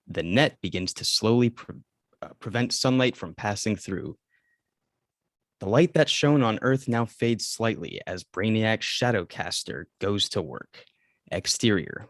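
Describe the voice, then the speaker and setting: Male narrator, slightly low voice, reading screenplay action lines, close dry sound. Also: no room sound. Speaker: stage-direction narrator. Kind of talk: scripted narration at a table read.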